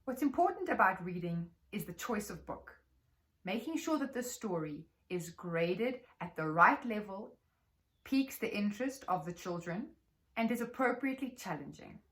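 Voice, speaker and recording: A South African woman giving an instructional talk to teachers as a trainer, explaining in a measured, articulate way. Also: medium pitch, animated.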